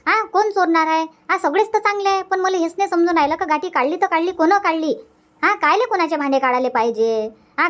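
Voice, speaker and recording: A woman's voice: high voice, a bit bright. A woman voicing a worried, moralising village neighbour in a comic cartoon, rambling emphatically with rhetorical questions in a Varhadi Marathi accent. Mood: indignant.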